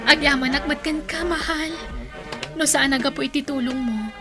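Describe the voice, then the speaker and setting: A woman playing a high-voiced dutiful young student daughter. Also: normal speed, over music, close to an everyday voice. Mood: grateful, affectionate. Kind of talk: radio drama acting.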